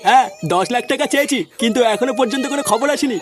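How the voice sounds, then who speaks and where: A man's voice: very high voice, racing. A man voicing an obedient, eager young henchman in a comic cartoon. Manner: eager, deferential. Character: obedient young male henchman of gang boss.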